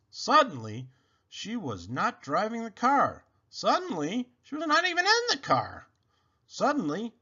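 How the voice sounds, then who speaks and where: A man narrating a children's book aloud in an expressive, dramatic, emphatic style. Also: on the high side, husky, dull audio. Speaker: storybook reader.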